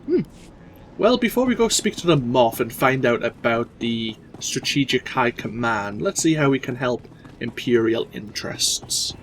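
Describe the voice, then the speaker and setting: Host, male, a bit clogged with cold; mid pitch, relaxed talk over faint game noise. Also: unhurried, rough recording. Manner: relaxed, explanatory. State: stuffy with a cold. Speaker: let's play host. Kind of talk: casual gameplay commentary.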